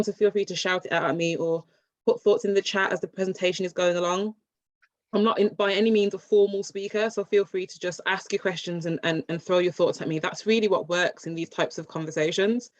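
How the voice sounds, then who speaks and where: A woman's voice: on the low side, dry recording. A British woman giving an informal, chatty online workshop presentation, with many fillers and restarts. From England. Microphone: video call. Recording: muffled dry video call audio.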